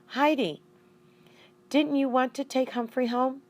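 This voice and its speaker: A woman's voice: sits high, fairly even intonation, unhurried, a little mellow, pitched above the performer's own voice. A woman voicing a stern, businesslike female schoolteacher in a children's story, with a firm classroom address. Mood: questioning.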